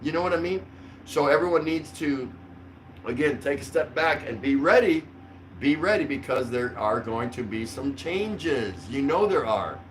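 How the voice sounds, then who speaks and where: A man's voice: fairly high pitch, animated, normal speed, slight background noise, rough recording. An older man hosting a casual livestream, rambling along in a jokey, mildly profane way. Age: older, around 60. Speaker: livestream host. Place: small curtained room indoors.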